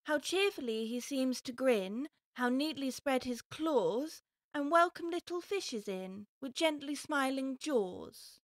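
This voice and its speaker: Casting: young storybook girl, high and very animated, earnest, puzzled, prone to fretting. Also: slow, no room sound. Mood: careful reciting. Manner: earnest self-questioning, talks to herself aloud. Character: young girl Alice, polite, puzzled, easily upset. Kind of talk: full-cast audiobook storybook acting.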